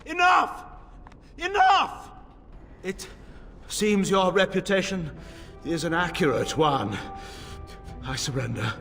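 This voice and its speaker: An actor playing a slick, haggling slave trader in a video game, with smooth and theatrical delivery. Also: male voice, sits high, very animated, music in the background, full character voice. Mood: panicked surrender. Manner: smooth bargaining, theatrical, deliberate. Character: slick haggling male slave trader, smug until cornered. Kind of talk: video game character acting. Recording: long hall reverb.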